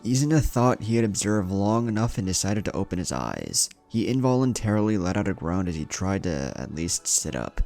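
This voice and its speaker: A man's voice: sits low, sing-song, normal speed, hardly any pauses, a little mellow, soft background music. A man reading a story as narrator, in a dramatic scripted narration style. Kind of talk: dramatic narrated fan fiction reading with character dialogue.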